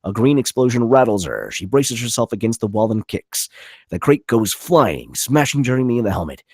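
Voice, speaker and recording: A man's voice: medium pitch, very animated, moderate pace, husky, dry recording, clean studio sound. A man narrating stage directions at a script table read, with vivid, punchy dramatic delivery. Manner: vivid, punchy reading of action.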